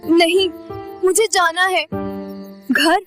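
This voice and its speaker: A woman acts as a teenage girl, very high in pitch, earnest and emotional. She is scared yet determined, as in an audio moral tale, with clear music playing behind her. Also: steady tone, slow. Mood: anxious, determined. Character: determined, frightened teenage girl on an errand in a jungle. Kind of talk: dramatised moral story acting. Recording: voice under clear background music.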